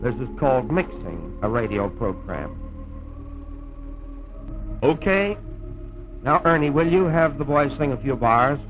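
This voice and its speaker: A man's voice: mid-range voice, lively intonation, measured pace, pauses often, soft background music. Dull old film recording, male announcer. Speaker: narrator and radio announcer. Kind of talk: formal scripted documentary narration, mid-century radio manner.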